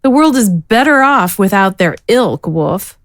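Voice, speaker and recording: A woman's voice: mid-range voice, lively intonation, a little slow, dry recording, clean studio sound, lightly acted. A woman playing a righteous, severe swordswoman in a fantasy audio drama, accusing and indignant in manner. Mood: stern, accusing. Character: stern, righteous female swordswoman of a warrior order.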